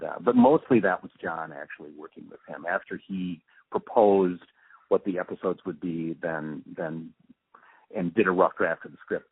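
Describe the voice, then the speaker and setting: A man being interviewed, giving reflective, halting answers as he thinks aloud through technical explanations. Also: low-pitched, husky. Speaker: interviewee, radio drama post-production supervisor. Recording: muffled narrow phone-like line.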